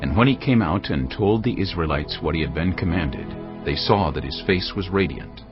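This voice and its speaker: A man narrating scripture in a dramatic, expressive reading. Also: sits low, moderate pace. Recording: dull lo-fi audio with loud music. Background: cinematic music.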